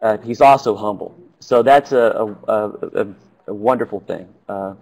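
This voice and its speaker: A man's voice: lowish voice, moderate pace, darkish tone, rough recording. Rambling man hosting a webinar. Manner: rambling, stumbling, many uh fillers. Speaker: webinar host.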